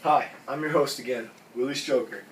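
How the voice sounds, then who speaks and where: A man's voice: medium pitch, steady tone, moderate pace, heavy background noise, close to an everyday voice. A man playing a male talk show host in an amateur skit, asking casual, offhand questions with small restarts. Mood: chatty. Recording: roomy, distant, music behind.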